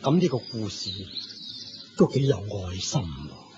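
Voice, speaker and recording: A man's voice: lowish voice, big pitch swings, a little slow. A man with a Hong Kong Cantonese accent playing a respectful traveller listening to a sage in a radio drama. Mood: appreciative. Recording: dull lo-fi recording. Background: prominent music.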